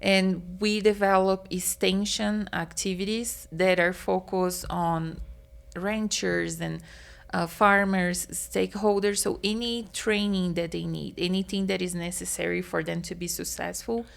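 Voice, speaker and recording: A woman, a meat science professor answering interview questions in an earnest, explanatory, slightly halting way. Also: fairly low pitch, fairly even intonation, vocal fry. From Brazil.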